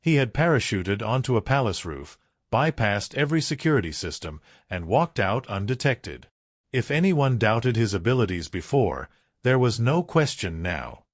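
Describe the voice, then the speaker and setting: A man's voice: fairly low pitch, big pitch swings, moderate pace, dry recording, studio quality. A man narrating a scripted true-crime documentary, telling it dramatically in short, punchy phrases.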